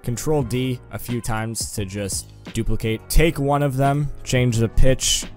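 A young man hosting a casual software tutorial in an offhand, informal way, speaking close into a desk microphone in a room at home. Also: mid-range voice, fairly even intonation, a little slow, soft background music. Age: young adult. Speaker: video tutorial host. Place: small room at home, indoors.

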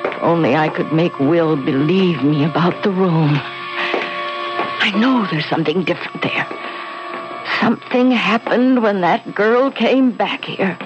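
Lonely older housewife, acted by a woman, unhurried plaintive speech, muffled radio drama, music behind. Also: lowish voice, lightly acted. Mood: wistful brooding. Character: lonely, resigned retired housewife, longing, childless. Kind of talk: old-time radio drama acting.

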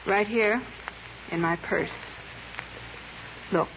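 A woman's voice: mid-range voice, very slow, vocal fry. An actress playing a composed, businesslike female gem dealer in a 1950s radio drama, with a clipped delivery. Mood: composed, confiding. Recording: muffled 1950s radio recording with hiss.

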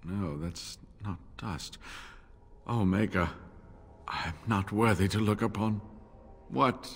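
An actor playing a frail, earnest middle-aged scholar monk in a fantasy game, speaking haltingly and with reverence. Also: male voice, fairly low pitch, big-room sound, slight background noise. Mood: awed.

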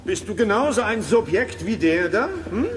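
A man's voice: high-pitched, lively intonation, moderate pace, slight background noise. An actor playing a cranky, threatening man of a spooky house in a children's radio play, stern and bossy. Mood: outraged.

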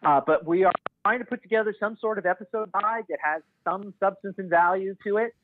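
A man speaking as a podcast guest in casual analysis, hesitantly thinking out loud as he goes. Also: high voice, a little fast, phone-quality sound, a little hiss or hum.

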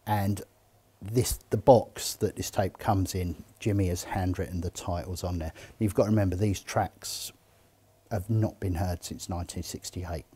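A middle-aged man who speaks for an auction house, answering interview questions with enthusiasm and measured pauses, in an indoor showroom. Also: deep voice, fairly even intonation, warm tone, husky. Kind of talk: interview answers about collectibles.

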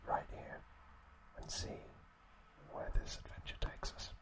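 A man whispering gently and unhurriedly to his listeners as an ASMR host. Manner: gentle, unhurried, softly spoken direct address to listeners.

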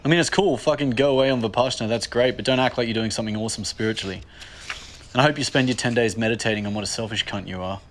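A man's voice: mid-range voice, racing, slight background noise. An Australian man in his thirties in a comedy sketch, ranting bluntly and sarcastically with lots of swearing.

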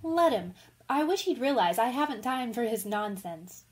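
A frank, impulsive young woman writer in a radio play, acted by a woman. Her voice is fairly high, and she rambles on in a chatty, candid rush. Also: lively intonation, normal speed, natural voice. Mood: irritated. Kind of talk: radio drama acting.

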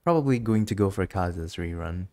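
A man with a fairly low voice talks casually as he streams a game. He is chatty and giggly, commenting in an informal, conversational way. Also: expressive, fairly quick, mellow.

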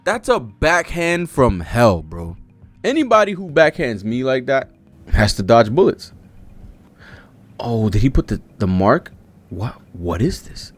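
Animated slangy man reacting on stream. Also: lowish voice. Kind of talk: casual live reaction commentary, reading panels aloud. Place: small indoor streaming setup. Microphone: close desk-arm microphone.

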